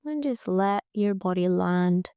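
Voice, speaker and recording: Slow, creaky-voiced woman guiding relaxation. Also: fairly low pitch, expressive, no room sound, lo-fi sound. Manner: gentle, soothing, pausing often. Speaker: yoga nidra guide. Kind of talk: guided relaxation meditation, soft and unhurried.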